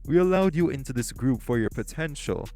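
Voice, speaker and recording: A man's voice: medium pitch, lively intonation, mellow, faint music behind, lightly acted. A man voicing a composed, condescending male crime leader, in an audio roleplay. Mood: cold. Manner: measured, condescending, clipped.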